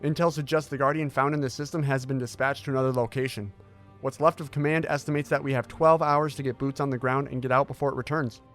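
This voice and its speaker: Music plays under an actor's portrayal of a determined male intelligence scientist in an audio drama. He has a medium-pitched voice and delivers his words in a formal, measured, authoritative briefing manner. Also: fairly even intonation, a bit bright, lightly acted. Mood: urgent, firm.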